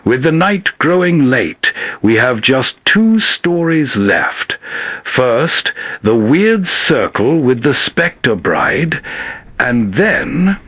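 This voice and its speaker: Male narrator-host, slow measured scripted storytelling, lighter pitch, very dry studio sound. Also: big pitch swings, a bit bright, dry recording.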